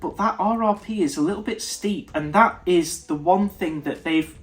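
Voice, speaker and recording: A young man reviews whisky in a relaxed, thinking-aloud way, breaking off and restarting his sentences. His voice sits a little high for a man and sounds nasal and blocked up. Soft music plays underneath. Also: moderate pace. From United Kingdom. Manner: thoughtful, stops and restarts mid-sentence. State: nasal, congested. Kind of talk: casual tasting review to camera.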